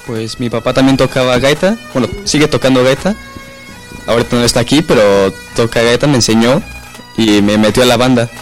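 Young man, medium pitch, chatting. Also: brisk, faint music behind. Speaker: interview guest, bagpiper. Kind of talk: casual interview talk.

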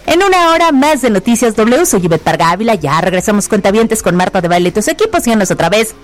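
A woman's voice: medium pitch, big pitch swings, fast talker, faint music behind. Upbeat woman radio host. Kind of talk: radio sign-off.

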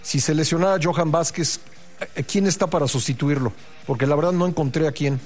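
A man's voice: on the high side, brisk, a little mellow. A man with a Mexican accent anchoring a conversational morning news broadcast, polite and somewhat tentative in how he puts things. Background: music.

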